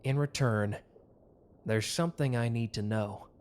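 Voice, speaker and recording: A man's voice: medium pitch, unhurried, darkish tone, close to an everyday voice. A man playing a protective, devoted mafia lover with a hot temper, speaking earnestly in an intimate romantic audio drama. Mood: tender, reflective.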